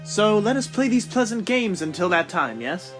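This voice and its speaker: A man as a high-voiced, gallant young lieutenant. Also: very animated, normal speed, music in the background. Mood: tender. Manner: formal, flowery. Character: courteous, devoted young lieutenant in love. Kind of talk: amateur period audio drama acting.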